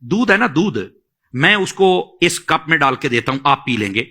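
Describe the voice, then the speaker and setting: A man's voice: fairly high pitch, big pitch swings, moderate pace, bright, forceful tone. A man giving religious admonition as a scholar on a talk show, emphatic and repeating phrases for stress.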